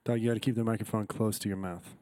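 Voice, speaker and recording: A man's voice: fairly low pitch, fairly even intonation, fast, mellow, no echo. A man co-hosting a podcast in casual banter, rambling and cracking dirty jokes. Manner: rambling, dirty-joking, says "you know what I'm saying". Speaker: podcast co-host and audio engineer.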